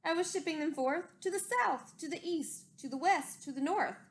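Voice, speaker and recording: A woman's voice: extremely high pitch, moderate pace, voice pushed up. A woman voicing a greedy, defiant male factory owner from a storybook, insistent and boastful.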